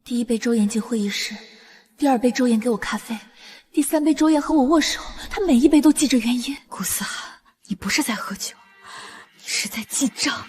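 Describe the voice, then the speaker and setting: A woman playing a smitten, easily flustered young law-firm worker, earnest and softly probing in acted drama dialogue and inner monologue. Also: on the high side, brisk, slightly rough, faint music behind. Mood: tender, smitten.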